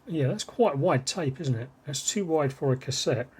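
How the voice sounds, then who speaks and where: A man's voice: on the high side, lively intonation, normal speed. British man rambling over noisy recording. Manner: rambling, musing aloud. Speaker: vlogger showing vintage cassette gear. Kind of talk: casual unscripted unboxing chat.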